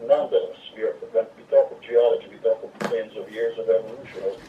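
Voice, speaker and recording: An older man from Hungary with a Hungarian accent, explaining things slowly as a guest in an interview, with many fillers. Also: fairly low pitch, fairly even intonation. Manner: slow, rambling explanations with frequent fillers. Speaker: guest, water expert. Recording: roomy, distant, dull lo-fi sound with faint noise.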